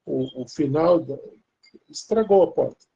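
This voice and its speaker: Dark-voiced Brazilian man, muffled sound. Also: on the high side, lively intonation, unhurried, vocal fry, husky. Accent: Brazilian Portuguese. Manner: rambling, many restarts and fillers. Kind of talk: casual chat.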